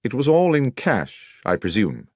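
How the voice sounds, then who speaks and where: A shrewd gentleman detective, played by a male narrator, composed and assured. He puts formal, incisive questions with a widely moving pitch, recorded dry for an audiobook. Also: huge pitch swings, a little slow, no echo. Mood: probing. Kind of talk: audiobook character dialogue.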